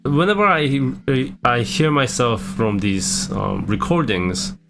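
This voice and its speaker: A young man with a non-native English accent and a low-pitched voice, talking about his streaming in a hesitant, self-deprecating way with many restarts. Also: slow pace, creaky. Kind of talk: casual reflective vlog. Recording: background guitar music.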